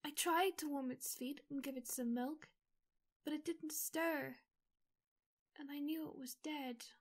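An actress playing a gentle, timid teenage girl in an audio drama, speaking softly. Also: high voice, measured pace. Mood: shaken. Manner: soft, timid. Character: Beth, gentle shy teenage girl, frightened. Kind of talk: amateur audio drama acting.

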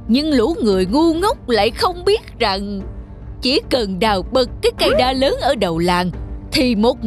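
Animated, boastful forest demon. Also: female voice, on the high side. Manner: gossipy, scornful. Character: boastful male forest demons trading secrets. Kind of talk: children's folk-tale cartoon dubbing. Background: background music.

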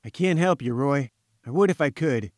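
Man playing a breezy, wry gentleman journalist. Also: mid-range voice, expressive, moderate pace, dry recording, studio quality, close to an everyday voice. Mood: friendly, firm. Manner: breezy, old-chap British slang. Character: genial, wry male journalist, firm but friendly.

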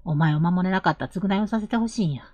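Woman acting an earnest, low-voiced young elder brother. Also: no room sound, lo-fi sound, natural voice. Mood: earnest, gentle. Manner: earnest, measured, gently insistent. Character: earnest, rough-spoken protective elder brother, young man. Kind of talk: Japanese period audio drama acting.

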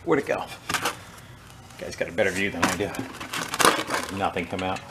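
A man's voice: low voice, normal speed, very noisy. A man hosting a small engine repair video, chatting casually as he works at his bench and sometimes turning away from the mic. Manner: relaxed, chatty, talks while working. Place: workshop with a bench and wire wheel.

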